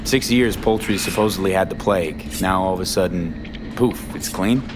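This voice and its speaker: An actor playing a streetwise, sardonic young mercenary with an American accent in a video game. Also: male voice, low-pitched, moderate pace, noisy background, lightly acted.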